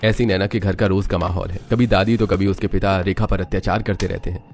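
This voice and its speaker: A man's voice: sits low, fairly even intonation, a little fast, darkish tone, a little hiss or hum. A man narrating a scripted dramatic story, with music underneath.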